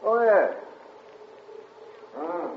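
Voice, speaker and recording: A sly, teasing police captain with a slightly high voice; tinny, echoey old radio. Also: male voice, big pitch swings, very slow, slight background noise, full character voice. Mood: probing. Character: wry, probing male police captain. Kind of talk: 1940s radio acting.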